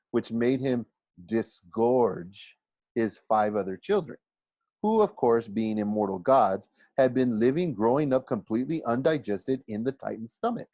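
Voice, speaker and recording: A man's voice: medium pitch, mellow, no room sound, muffled recording. A formal, patiently stern male schoolteacher from a storybook, acted by a man reading aloud. He questions and lectures with dignity, in a lifted voice with a dry, close sound.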